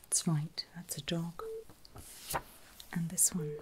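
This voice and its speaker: Slow whispering woman, soft ASMR clinician. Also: on the low side, little pitch movement, background noise. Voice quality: breathy, unvoiced whisper. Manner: gentle, reassuring, encouraging. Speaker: ASMR performer playing a surgical clinician. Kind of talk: whispered ASMR medical roleplay.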